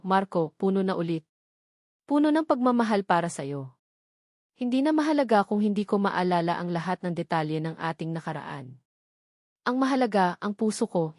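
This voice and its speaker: A woman's voice: mid-range voice, darkish tone, no echo, studio quality, close to an everyday voice. A woman with a Filipino accent voicing a tender young bride's heartfelt, earnest love letter in an audio storybook romance.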